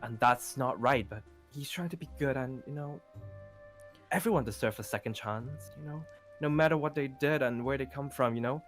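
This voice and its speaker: A man's voice: medium pitch, faint music behind, close to an everyday voice. Man playing an earnest, hesitant young best friend. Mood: earnest pleading. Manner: halting, repeats words, pads with "you know". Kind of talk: improvised Minecraft roleplay acting.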